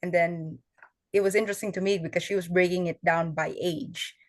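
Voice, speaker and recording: Young woman, low voice, Tagalog native. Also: fairly even intonation, a little fast, no echo. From Filipino family. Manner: earnest, explains step by step with fillers. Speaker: oral history fellow. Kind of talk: conversational interview answers.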